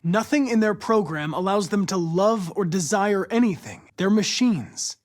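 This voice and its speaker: A man with an American accent playing a polite, determined young android police investigator in a video game, speaking in a precise, formal, measured way. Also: sits high, expressive. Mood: firm, cold. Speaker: actor voicing a video game character.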